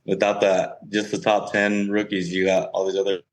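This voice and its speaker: A man's voice: low voice, level tone, normal speed, poor audio. A man speaking casually as a guest player being interviewed on a podcast.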